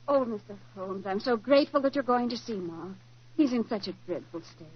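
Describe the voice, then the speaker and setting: An actress playing a genteel, worried wife in a 1940s radio drama, earnest and anxious. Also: female voice, a little high, brisk, breathy edge. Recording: dull, noisy 1940s radio recording.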